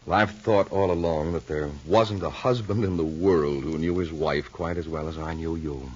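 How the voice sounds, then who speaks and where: An actor playing a calm, thoughtful husband in an old radio soap, speaking in a measured way, on a lo-fi vintage recording. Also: male voice, extremely low pitch, husky. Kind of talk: 1940s radio acting.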